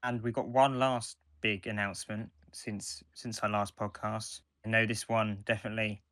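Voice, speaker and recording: A man's voice: lowish voice, flat delivery, moderate pace, fairly bright tone, dry recording. A man co-hosting a podcast, talking casually about audio dramas. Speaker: podcast co-host.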